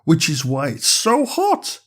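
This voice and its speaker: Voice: mid-range voice, wildly swooping pitch, takes it slowly, breathy edge, pitched above the performer's own voice, exaggerated voice. A storyteller voicing a small, chubby, friendly alien creature who eagerly explains things as a planetary guide in a children's bedtime story. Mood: eager, explaining.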